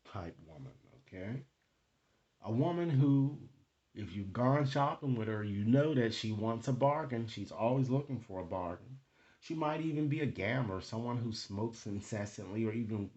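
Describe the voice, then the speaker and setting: A man hosting an improvised tarot reading, speaking to viewers in halting phrases and often checking in with short tag questions. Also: on the low side, darkish tone, muffled recording. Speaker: tarot card reader, online host.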